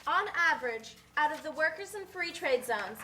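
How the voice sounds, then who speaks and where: A woman's voice: high voice, lively intonation, normal speed, sharp tone, slight background noise. A woman playing an informative narrator, reciting facts in scripted puppet show narration.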